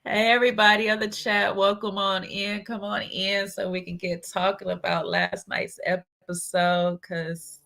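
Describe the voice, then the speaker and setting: A woman's voice: medium pitch, flat delivery, unhurried, creaky, fairly bright tone. A woman with a stuffy nose from allergies hosts a casual livestream chat, talking in slangy, chatty bursts and laughing often. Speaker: host of a reality-show recap livestream.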